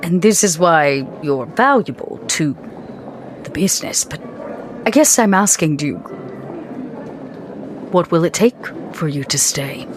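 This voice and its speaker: A woman voicing a poised, probing female vampire with formal, coy, deliberate phrasing in improvised roleplay. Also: deep voice, expressive, background noise. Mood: probing, intimate. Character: poised, probing, elegant female vampire businesswoman named Vera.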